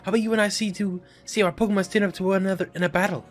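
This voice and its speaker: A man's voice: high voice, fairly even intonation, rapid-fire, faint music behind, lightly acted. A man voicing a friendly, curious young travelling merchant with a courteous, theatrical manner. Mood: curious, sociable.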